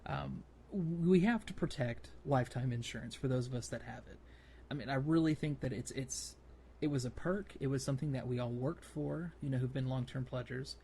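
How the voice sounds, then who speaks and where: A man co-hosting a gaming podcast, discussing things casually and thinking aloud in a measured way. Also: medium pitch, warm tone. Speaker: podcast co-host. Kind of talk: casual discussion about a video game. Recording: clear background noise.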